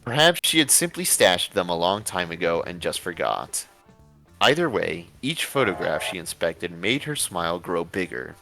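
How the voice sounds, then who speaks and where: A man's voice: deep voice, big pitch swings, normal speed, bright, forceful tone, soft background music. A man reading a story aloud with casual asides, sometimes stumbling over his words and correcting himself. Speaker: story reader and show host.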